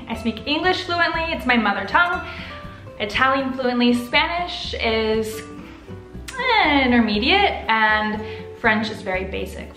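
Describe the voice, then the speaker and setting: Chatty American woman in an echoey room. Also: a little high. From United States. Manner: upbeat, expressive and chatty. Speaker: vlogger. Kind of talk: casual to-camera vlog. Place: living room with sofa, indoors.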